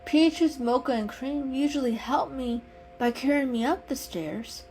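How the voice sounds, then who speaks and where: A woman's voice: high-pitched, unhurried, darkish tone, voice pushed up, rough recording. A woman voicing a bold, mischievous young male kitten in a storybook reading, eager and playful. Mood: eager, scheming. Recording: music under the voice.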